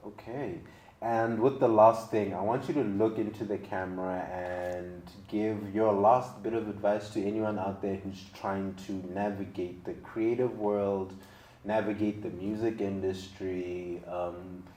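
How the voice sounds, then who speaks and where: A man's voice: fairly low pitch, steady tone, unhurried, dark tone. A man hosting a casual interview in an encouraging, affirming way. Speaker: interview host. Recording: roomy, echoing sound.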